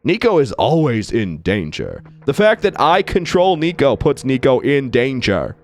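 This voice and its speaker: A man's voice: medium pitch, very animated, unhurried. A man playing a game and chatting through it, playful and rambling, still getting over losing his voice. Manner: playful, rambling, self-mocking. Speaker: gaming channel host. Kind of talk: Let's Play commentary with character voices.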